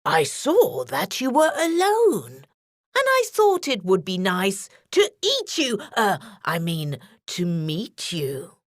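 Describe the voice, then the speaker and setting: A woman voicing a cunning, greedy, grumpy male wolf in a children's story, with a sly, drawn-out delivery. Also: mid-range voice, wildly swooping pitch, no echo, clean studio sound, pitched below the performer's own voice, heavily acted. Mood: sly, wheedling.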